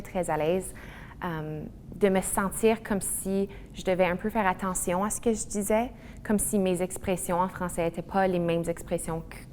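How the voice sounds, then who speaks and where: A woman's voice: fairly low pitch, slight background noise. A woman, speaking articulately and reflectively in a personal testimony. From Manitoba, Canada. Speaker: interviewee. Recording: echoey room.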